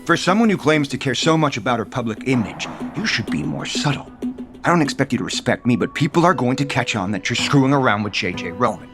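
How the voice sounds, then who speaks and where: An actor playing a cold, embittered husband and developer in an audio soap opera, dry, haughty and sarcastic. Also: male voice, mid-range voice, expressive, fast talker, lightly acted. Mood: cold, bitter. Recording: prominent music bed behind.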